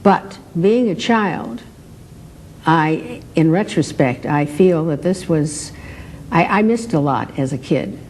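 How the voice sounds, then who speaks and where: An older woman speaks very slowly in a fairly low voice over rumbling background noise. She reflects on her past in an interview. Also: animated, darkish tone, rough recording. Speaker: interviewee, former child radio performer.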